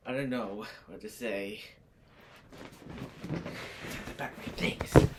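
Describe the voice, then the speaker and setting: A man playing a frightened resident in an amateur disaster drama, speaking haltingly and repeating words. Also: on the low side, background noise. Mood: scared. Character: frightened resident watching toxic smoke surround his house. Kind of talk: amateur disaster scenario acting.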